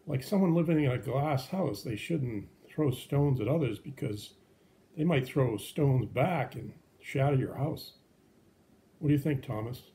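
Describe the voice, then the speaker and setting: A man's voice: medium pitch, animated, a little slow, creaky, warm tone. A man speaking as a pastor and puppet show host, explaining things simply and patiently to children.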